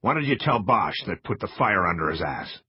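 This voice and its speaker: Man playing a husky, bullying federal agent. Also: deep voice, sing-song, moderate pace, dry recording, lo-fi sound, full character voice. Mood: aggressive. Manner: taunting, threatening, hard-edged.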